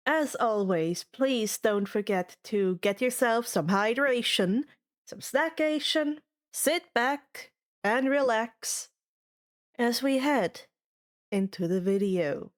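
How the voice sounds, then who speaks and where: A woman's voice: a little high, slow pace. A woman hosting and narrating a fan fiction reading in a scripted, audiobook style. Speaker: fan fiction reader and channel host.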